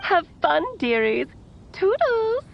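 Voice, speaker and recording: A woman's voice: extremely high pitch, sing-song, slow pace, gravelly, slight background noise, exaggerated voice. A woman voicing a whimsical, motherly mage in a video game, sing-song and teasing, on a muffled recorded message. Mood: playful, cheery. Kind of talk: video game character acting.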